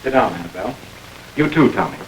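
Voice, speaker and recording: A stern radio-drama police investigator. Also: male voice, fairly low pitch, very animated, rough recording. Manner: firm, measured interrogating. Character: stern middle-aged male police investigator. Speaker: police lieutenant. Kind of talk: 1940s radio acting. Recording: hissy old radio recording.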